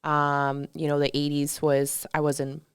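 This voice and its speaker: A woman's voice: low-pitched, completely flat delivery, unhurried, clean tone, no room sound, studio quality. A woman in her thirties from Colombia, co-hosting a podcast in chatty, emphatic talk with many fillers. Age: 30s. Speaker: podcast co-host. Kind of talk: casual podcast chat.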